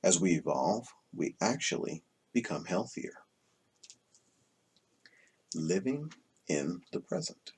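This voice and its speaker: A man reading a self-help book aloud as scripted narration, in measured, deliberate phrases with pauses between them. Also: low voice, very animated, a little mellow, breathy edge. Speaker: audiobook reader.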